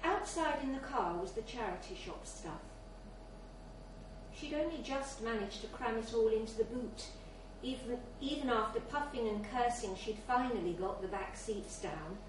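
A woman's voice: fairly high pitch, moderate pace. A woman reading a literary short story aloud as scripted narration at a live event in a bar. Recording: very echoey, distant mic, faint noise.

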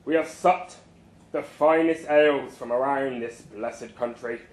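A man's voice: medium pitch, a little slow, reverberant, pitched below the performer's own voice, natural voice, rough recording. A man voicing a fervent male boss who rallies beer lovers like a preacher, declaiming in rousing calls as part of a story reading. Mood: grand, solemn. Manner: rousing, declamatory, chant-like call to the crowd.

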